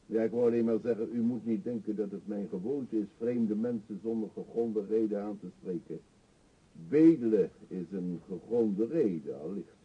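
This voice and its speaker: An actor playing an eccentric, talkative older beggar in a radio drama, pushy and wry, confiding theatrically. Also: male voice, lowish voice, moderate pace, breathy edge. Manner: chatty, insistent, theatrically confiding. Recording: dull, muffled old radio play recording.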